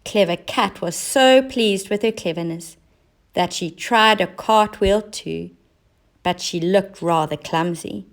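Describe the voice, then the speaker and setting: Woman, storybook narration for children, very unhurried pace, mid-range pitch, teacher reading aloud.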